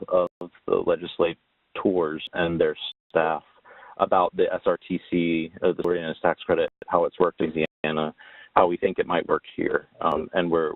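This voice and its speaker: Deep-voiced man presenting, dull lo-fi audio. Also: no echo. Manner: hesitant, halting, informal explaining. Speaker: webinar presenter on tax credit policy. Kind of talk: presenting a slide talk. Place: remote webinar call. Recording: dull lo-fi call audio, very dry.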